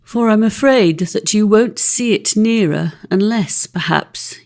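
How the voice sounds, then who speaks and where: Creaky-voiced woman narrating a storybook. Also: medium pitch, a little mellow. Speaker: audiobook narrator.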